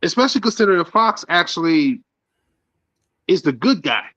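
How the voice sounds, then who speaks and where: A man's voice: high voice, very animated, normal speed, fairly bright tone, no echo, highs cut off. A man in his thirties chatting casually as a podcast co-host, rambling with many fillers and restarts. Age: 30s. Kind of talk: casual chat.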